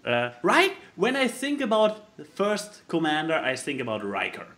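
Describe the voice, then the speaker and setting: A man who is not a native English speaker, chatting casually as a podcast co-host and haltingly searching for words as he explains things. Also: a little high, wildly swooping pitch, pushed and bright. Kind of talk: casual chat explaining a board game.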